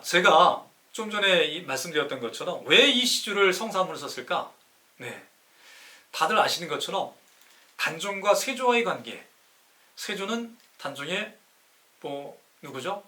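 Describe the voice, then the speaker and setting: 강의하듯 힘주어 말하는, 억양 변화가 큰 남자. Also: male voice, a little high, sharp tone. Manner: didactic, asks rhetorical questions and answers them himself; emphatic, stretches key words. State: sore throat from campaigning. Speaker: live-stream host, city councilor. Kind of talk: live-streamed lecture explaining classical poetry.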